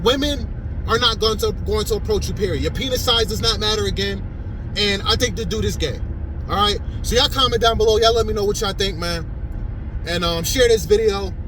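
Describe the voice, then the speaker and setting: A man's voice: sits high, background noise. A man in his thirties giving a blunt, rambling commentary vlog, repeating phrases and tacking "man" onto his sentences. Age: 30s. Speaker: YouTuber.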